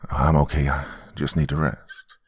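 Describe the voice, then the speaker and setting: Man acting a brooding, very deep vengeful antihero. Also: flat delivery, unhurried, slightly rough, no echo, full character voice. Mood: weary, gentle. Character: brooding, vengeful man with superpowers, gruff but gentle to a child.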